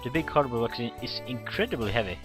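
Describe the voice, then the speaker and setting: A man's voice: mid-range voice, expressive, fast talker, a bit bright. A man doing let's play commentary, reading a visual novel script aloud, acting out the dialogue and stumbling over words. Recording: game music behind the voice. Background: visual novel background music.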